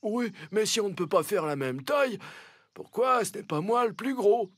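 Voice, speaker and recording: A small, sorry-for-himself ox in a children's cartoon fable. The voice is high and a little rough, close and dry, with a whining, plaintive manner. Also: male voice, animated, moderate pace, no room sound. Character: sad young little ox, self-pitying complainer.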